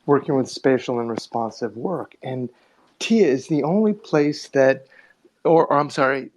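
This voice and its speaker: A man speaking informally in a live audio chat, earnest and halting, often repeating his words. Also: mid-range voice, vocal fry, dull audio. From New York City, United States. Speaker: artist and audience speaker.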